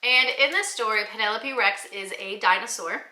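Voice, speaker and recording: A woman's voice: medium pitch, moderate pace, pushed and bright, echoey room, rough recording. A woman giving an informal instructional talk as an educator, in an explanatory, conversational way. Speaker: educator presenting classroom book recommendations.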